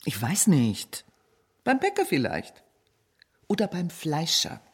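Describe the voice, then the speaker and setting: An actress playing a proud professor's wife in a radio drama, husky-voiced, haughty and evasive under questioning. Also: female voice, sits low, huge pitch swings, slow pace, heavily acted. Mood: guarded, evasive.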